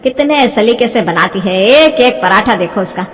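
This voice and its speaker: A woman voicing a sharp-tongued older mother-in-law who nags and taunts sarcastically in a moral-story cartoon. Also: medium pitch, expressive, normal speed, close to an everyday voice. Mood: mocking. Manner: sarcastic, nagging. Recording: long hall-like reverb.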